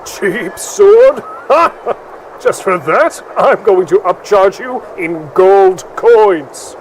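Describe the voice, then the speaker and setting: An actor playing a hearty, jesting, grumbling blacksmith and friendly rival in a fantasy audio drama. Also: male voice, high voice, sing-song, drawn-out words, slight background noise, heavily acted, rough recording. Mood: boisterous, indignant. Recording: music behind the voice.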